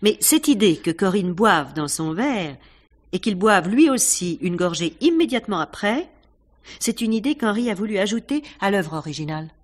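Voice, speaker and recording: An actress playing a composed, worldly theatre wife in a French radio mystery, answering questions with dry, knowing candour. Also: female voice, medium pitch, big pitch swings, moderate pace. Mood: thoughtful. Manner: matter-of-fact, knowing, dryly candid.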